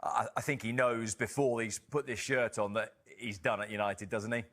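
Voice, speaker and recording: A man's voice: fairly low pitch, steady tone, moderate pace, fairly bright tone. A man presenting a sports broadcast panel, setting out stories conversationally and leading into questions.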